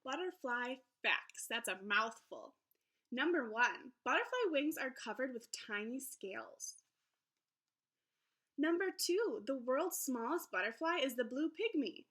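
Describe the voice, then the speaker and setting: Bright, high-pitched woman reading to children. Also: measured pace. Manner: expressive, explaining simply to young children. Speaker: elementary school teacher. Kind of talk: children's picture book read-aloud with teaching asides.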